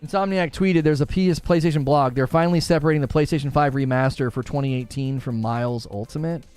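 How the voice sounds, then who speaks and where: A man with a smooth, clear and slightly high voice hosts a gaming livestream. He talks informally and slangily, speaking straight to his viewers as he reads out their comments. The sound is close and dry. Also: quick pace, darkish tone, no room sound, soft background music. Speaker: gaming podcast host and livestreamer. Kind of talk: casual livestream chat, reading viewer comments aloud.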